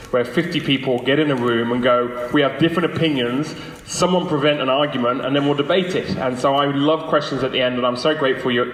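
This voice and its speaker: A man's voice: mid-range voice, fairly bright tone. A man speaking persuasively as a guest lecturer to a live audience, using rhetorical questions and emphatic phrasing. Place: large echoey room, lunchtime public talk. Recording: echoey hall with long reverb.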